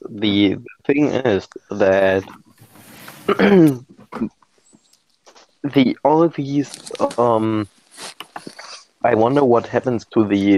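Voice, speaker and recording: Slow, halting low-voiced man on voice chat. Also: a little mellow. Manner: slow, halting, with many restarts and fillers. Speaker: podcast host. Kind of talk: casual group voice chat. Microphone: computer mic on a voice call. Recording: dull lo-fi voice-chat audio.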